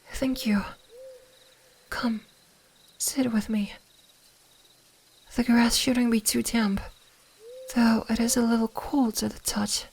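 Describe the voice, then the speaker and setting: A woman playing a gentle, curious kitsune fox spirit in an ASMR roleplay, speaking softly, soothingly and a little hesitantly. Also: a little high. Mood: curious, caring. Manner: soft-spoken, soothing, hesitant, at times whispering.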